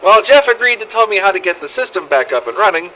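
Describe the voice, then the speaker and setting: Man acting a sardonic apocalypse survivor on bright cassette tape. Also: high-pitched, big pitch swings, fast, full character voice, rough recording. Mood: angry, exasperated. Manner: sweary, sardonic, world-weary storytelling. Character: gruff, foul-mouthed zombie-apocalypse survivor, wry and cynical. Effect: old cassette recorder filter. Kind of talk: scripted in-character audio diary narration. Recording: old cassette tape with faint noise.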